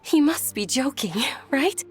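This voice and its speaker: A woman voicing a timid, gentle young noblewoman in a game cutscene, soft-spoken and hesitant. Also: high-pitched, animated, unhurried, soft background music. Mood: nervous.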